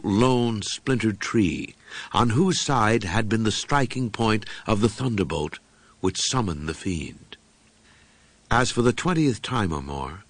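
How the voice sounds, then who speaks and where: Low-pitched man reading a horror audiobook. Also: dry recording. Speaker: audiobook reader. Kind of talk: scripted narration of a horror story.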